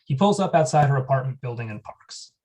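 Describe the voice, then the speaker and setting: Guy reading the stage directions, flat matter-of-fact. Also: medium pitch, brisk.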